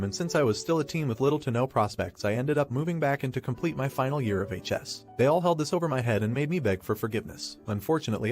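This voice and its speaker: A man reading online stories aloud in a steady, even narration. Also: mid-range voice.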